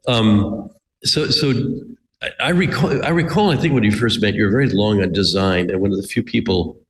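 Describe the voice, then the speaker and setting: A man hosting an interview over a video call, with a low voice. He talks in a halting way, restarting and repeating words. His voice carries a long reverb tail. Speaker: host.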